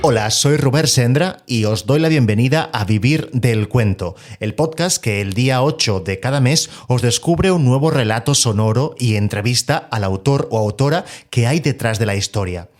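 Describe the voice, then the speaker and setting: A man with a Spanish accent from Spain, hosting a podcast and asking interview questions in a calm, measured way. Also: medium pitch. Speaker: podcast host.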